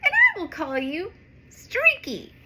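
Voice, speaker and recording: A woman voicing a kind young superheroine in a storybook, gushing and warm. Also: very high-pitched, huge pitch swings, slow pace, very noisy, voice pushed up, full character voice.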